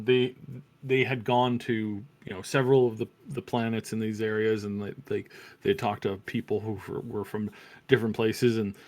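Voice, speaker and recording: A man co-hosting a casual review discussion, reasoning out his opinions haltingly and repeating words as he goes. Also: lowish voice, darkish tone. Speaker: co-host of a review show.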